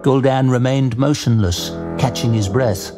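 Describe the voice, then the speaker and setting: A man narrating a scripted fantasy tale dramatically, with a measured, grave storytelling manner. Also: medium pitch, over loud music.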